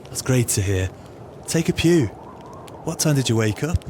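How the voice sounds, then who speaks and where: An actor playing a cold, calculating swindler with a wry, scheming manner in an audio play. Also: male voice, medium pitch, animated, normal speed, background noise, natural voice. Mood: feigned friendliness. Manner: wry, scheming, colourful phrasing. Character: cold, calculating male swindler plotting murder.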